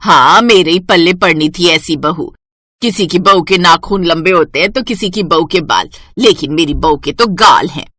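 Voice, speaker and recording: A woman's voice: fairly low pitch, moderate pace, sharp tone, no room sound, studio quality. Woman acting a scolding, exasperated mother-in-law. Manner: scolding, sarcastic complaining. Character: sharp-tongued middle-aged Indian mother-in-law, exasperated and scolding.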